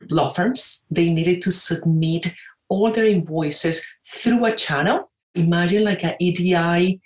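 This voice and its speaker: A woman tells her story as an interview guest, chatty and full of fillers and tag questions. Her voice sits on the low side and rings in an echoey room. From Venezuela. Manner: chatty, many fillers and "right?" tags. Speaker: guest entrepreneur.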